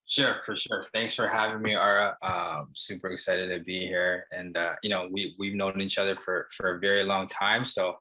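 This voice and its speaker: Very deep, flat-voiced man with halting speech. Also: rough recording. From Sri Lanka. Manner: halting, many fillers and restarts. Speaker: guest, barber and entrepreneur. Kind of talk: casual interview answers.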